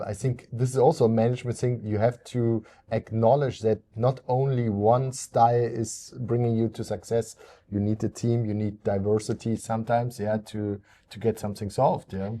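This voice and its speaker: A man's voice: on the low side, flat delivery, normal speed, dark tone. A man on a panel explaining his view in long lists, often tagging "yeah" onto his sentences. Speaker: panel guest. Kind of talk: casual panel discussion.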